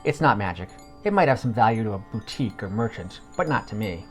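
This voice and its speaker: A man's voice: lowish voice, expressive, fast talker, soft background music, natural voice. A man voicing an aloof wizard cat shopkeeper in a curt, neutral manner for a fantasy story podcast. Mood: matter-of-fact.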